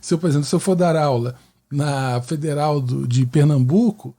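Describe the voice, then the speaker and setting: A man with a Carioca Brazilian accent and a husky, fairly high voice talks like a teacher hosting his own show. His tone is mellow, and he explains things conversationally, often checking that the listener follows. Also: moderate pace. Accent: Carioca Brazilian Portuguese. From Rio de Janeiro, Brazil. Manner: didactic, with frequent tag questions seeking agreement. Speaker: podcast host and mathematics teacher.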